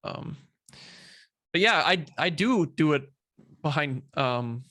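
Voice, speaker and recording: A young man speaking as a guest in a casual interview, talking in a halting way with many fillers and repeated words. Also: on the high side, expressive, bright, forceful tone, dry recording. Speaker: guest, social media creator.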